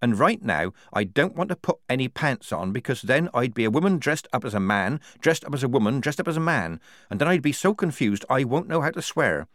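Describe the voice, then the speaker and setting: Man as a weary, practical soldier girl, slightly raised pitch. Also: very animated, brisk, few pauses, fairly bright tone, dry recording, studio quality. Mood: exasperated confession. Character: weary, plain-spoken young woman soldier, practical leader.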